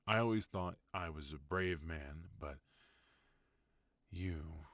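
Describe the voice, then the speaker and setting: A voice actor playing a protective, tender yet dangerous mafia boss husband in an intimate ASMR roleplay, speaking softly and unhurriedly into a close microphone. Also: male voice, a bass voice, dry recording, heavily acted. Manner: soft, intimate, unhurried delivery.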